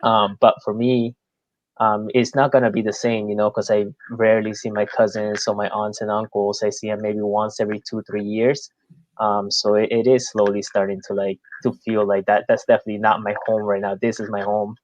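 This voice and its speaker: A man's voice: on the low side, flat delivery, a little fast, dry recording, highs cut off. A man from Peru chatting on a podcast, telling stories in a laid-back way with lots of fillers. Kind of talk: casual podcast conversation.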